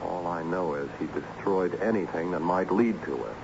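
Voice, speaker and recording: An actor playing an earnest, learned schoolteacher who speaks insistently and didactically in a radio drama. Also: male voice, low voice, moderate pace, creaky. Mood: troubled. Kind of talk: old-time radio drama acting. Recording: muffled, noisy radio drama recording.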